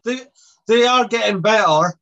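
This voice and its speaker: A young man co-hosting a podcast, mocking his friends with quick-fire jibes in casual banter. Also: high-pitched, bright, forceful tone, muffled recording. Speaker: podcast co-host.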